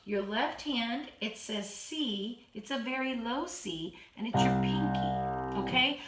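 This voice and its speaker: A hoarse woman who keeps clearing her throat, patiently teaching a children's keyboard lesson and counting beats aloud. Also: on the high side. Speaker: piano teacher. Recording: roomy, from a distance. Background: keyboard playing.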